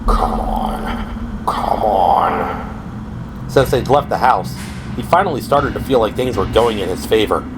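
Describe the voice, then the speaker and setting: A man's voice: mid-range voice, a little fast. A man delivering scripted, dramatic narration for an audio drama. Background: dramatic background music.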